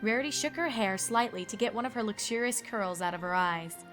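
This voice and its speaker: A woman's voice: medium pitch, animated, brisk. A woman reading scripted story narration. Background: music.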